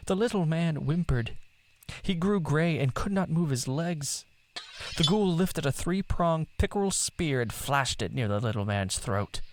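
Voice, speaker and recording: A man narrating a literary story in a theatrical, deliberate manner. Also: mid-range voice.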